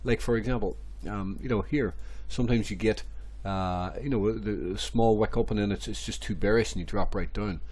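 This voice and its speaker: A man, hoarse and stuffy from a cold, rambling through crypto chart analysis with many repeats and fillers. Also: fairly low pitch, normal speed, few pauses, a little mellow, a little hiss or hum. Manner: rambling, repeats phrases, many ums and restarts. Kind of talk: casual screen-share market commentary.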